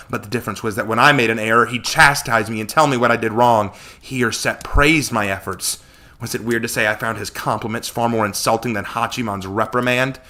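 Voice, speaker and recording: A man's voice: mid-range voice, sharp tone. A man reading a fantasy story aloud as dramatic, intense scripted narration. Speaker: story reader.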